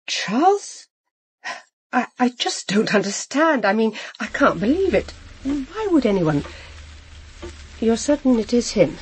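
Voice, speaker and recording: An actress playing a shocked, hesitant, proper middle-aged housewife who has been widowed, in a naturalistic radio drama. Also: female voice, on the high side, sing-song, a little hiss or hum. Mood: shocked, bewildered. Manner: halting, flustered, repeats herself. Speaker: actor in a crime drama.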